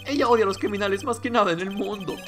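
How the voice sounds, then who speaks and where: A man's voice: sits high, rapid-fire, over music, lightly acted. A man voicing a timid, despondent young husband in a game, hesitant and stammering. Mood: despairing.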